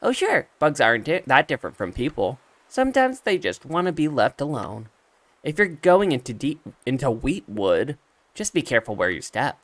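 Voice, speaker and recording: A man voicing a gentle, earnest young bug-hunting animal in a video game. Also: medium pitch, very animated, normal speed, no room sound.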